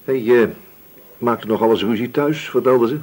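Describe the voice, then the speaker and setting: A man acting a thoughtful, suspicious police inspector in a radio drama, speaking hesitantly with musing pauses. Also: medium pitch, a little mellow, natural voice, poor audio.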